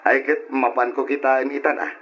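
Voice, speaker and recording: A man's voice: medium pitch, little pitch movement, moderate pace, fairly bright tone, husky. A man playing an easygoing, earnest young man in an old radio drama, with an Ilocano Filipino accent. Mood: easygoing, affectionate. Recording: dull lo-fi radio recording.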